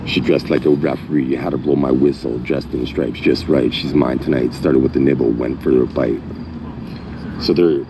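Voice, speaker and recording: Middle-aged man, extremely low voice, halting delivery with constant fillers, noisy phone recording. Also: fairly even intonation. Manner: halting, many fillers and restarts. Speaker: channel owner. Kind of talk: rambling commentary, half-chanted lyric reading. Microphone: phone mic.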